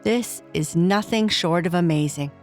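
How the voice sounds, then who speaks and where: A woman voicing a gentle, reassuring but sceptical mother in a children's bedtime story. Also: fairly low pitch, unhurried, faint music behind, natural voice. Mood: awed.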